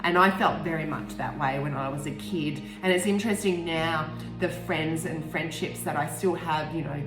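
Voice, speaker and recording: An Australian woman in her forties talks in a fairly low voice, casual and candid. She rambles reflectively and often breaks off her own thoughts. The room rings noticeably around her, and music plays behind her. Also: moderate pace. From Tasmania, Australia. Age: 40s. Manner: candid, rambling, self-interrupting. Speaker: author reading and discussing own book. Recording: roomy echo, music behind.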